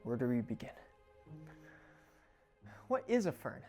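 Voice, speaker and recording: Theatrical, swoopy plant show host. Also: female voice, extremely low pitch, big pitch swings, measured pace, darkish tone, faint music behind, full character voice. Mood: breezy. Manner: casual, theatrical, exasperated asides. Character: female plant show host, breezy, rebellious, dramatic. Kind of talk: comedic educational show presenting.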